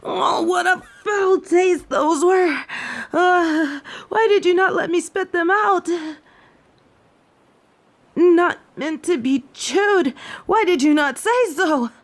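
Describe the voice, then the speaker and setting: A woman's voice: extremely high pitch, slow, exaggerated voice. A woman playing a snobby fallen angel who is sick with a head cold, speaking in formal, archaic phrases for an audio drama. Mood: disgusted, outraged.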